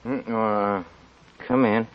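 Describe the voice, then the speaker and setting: An actor playing an obsessed, nervous young army officer in a 1940s radio drama, with a fervent, halting delivery. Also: male voice, on the low side, flat delivery, a little hiss or hum. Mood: nervous, evasive. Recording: dull, band-limited 1940s radio recording.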